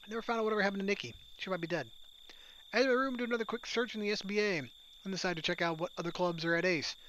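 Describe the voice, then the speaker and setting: A man's voice: high-pitched, racing, noisy background. A man reading a visual novel aloud, giving its first-person narration and lines plainly.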